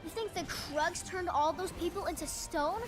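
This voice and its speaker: A woman's voice: very high voice, moderate pace. A woman voicing an imaginative, playful seven-year-old boy adventurer in a video game, earnest and whimsical. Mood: determined. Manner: earnest, whimsical, childlike storytelling. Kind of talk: video game character voice lines. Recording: game clips with music behind.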